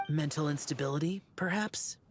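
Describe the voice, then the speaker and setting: A woman voicing a stoic, deadpan young male demon slayer in a video game, terse and reserved. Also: deep voice, moderate pace, slight background noise. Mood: reserved, puzzled. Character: stoic, reserved male demon-slaying immortal with youthful looks. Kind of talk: video game character dialogue. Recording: game music behind the voice.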